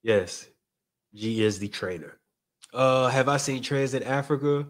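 Relaxed young Nigerian man chatting. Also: medium pitch, unhurried. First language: Yoruba. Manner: relaxed, candid, repeats phrases. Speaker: podcast guest. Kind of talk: casual chat, reading viewer comments. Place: studio set. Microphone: studio mic on stand.

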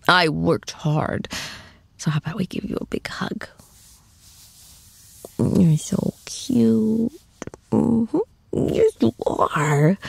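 A woman acts out an adoring, playful girlfriend in an intimate ASMR roleplay. She coos in a breathy voice that often falls into whispers, very creaky at the edges. Her pitch swoops affectionately, and the sound is close and dry. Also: darkish tone, no room sound, heavily acted. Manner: cooing, playful, slow and tender. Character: affectionate, teasing young girlfriend comforting her shy boyfriend.

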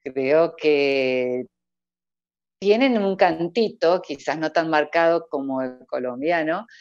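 Low-voiced Argentine woman on a video call. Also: takes it slowly, dry recording. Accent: Cordobés Argentine Spanish. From Córdoba, Argentina. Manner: laughs often, warm sing-song lilt. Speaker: voice-over artist guest. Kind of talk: casual interview over video call. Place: indoor room at home. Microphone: earphone headset on video call. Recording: dull, dry video-call audio.

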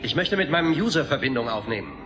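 An actor playing a determined young hero in a radio play, speaking with earnest urgency. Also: male voice, mid-range voice, expressive, fast, natural voice. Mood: earnest, urgent. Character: heroic, determined young male warrior program. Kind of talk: dramatic radio play acting. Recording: echoey voice under loud music.

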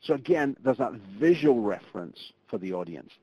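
A man's voice: mid-range voice, very animated, normal speed, warm tone, slightly rough, dry recording. A middle-aged man giving a live online training presentation, chatty and full of fillers and restarts. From Scotland. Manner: conversational, lots of fillers and restarts. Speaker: webinar presenter. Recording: muffled web conference audio.

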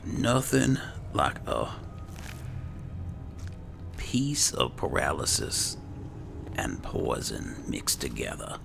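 A man's voice: extremely low pitch, wildly swooping pitch, very slow, vocal fry, long pauses, music in the background. A man giving casual gameplay commentary as a gaming host, murmuring his thoughts aloud over game ambience. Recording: noisy, game ambience throughout.

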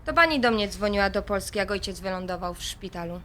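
An actress playing an impulsive, defiant adult daughter in an audio drama, sarcastic and confrontational. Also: female voice, medium pitch, animated, quick pace, a bit bright, slight background noise, lightly acted. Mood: sarcastic, provocative.

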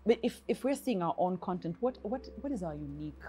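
A woman's voice: on the low side, expressive, a little fast, dark tone, a little hiss or hum. Chatty Ugandan woman interview host. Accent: Ugandan English. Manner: chatty, long framing questions with fillers. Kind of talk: interview questioning. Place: bright indoor studio set with armchairs.

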